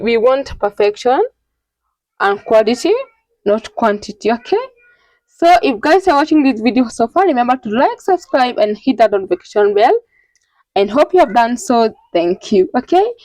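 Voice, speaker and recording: A woman hosting a step-by-step software tutorial, talking instructionally and often checking in with a quick "okay". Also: a little high, no room sound, poor audio. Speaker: tutorial host.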